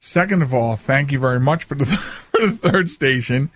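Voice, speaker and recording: A man's voice: mid-range voice, expressive, moderate pace, fairly bright tone, a little hiss or hum. A man in his forties hosting a casual, jokey interview as he chats with his guest. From United States, Philadelphia area. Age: 40s. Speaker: show host.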